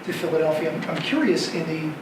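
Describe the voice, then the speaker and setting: A man's voice: a little high, fast. A man hosting a live interview in front of an audience, enthusiastic and given to hesitant restarts. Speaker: interviewer, event host. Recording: distant, echoey, noisy room.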